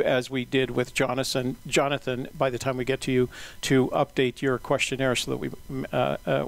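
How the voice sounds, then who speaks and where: A man hosting an interview in a relaxed, unhurried way, often pausing with "uh". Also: medium pitch, vocal fry. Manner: relaxed, many "uh" fillers, measured pace. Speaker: interviewer and podcast host. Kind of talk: casual interview.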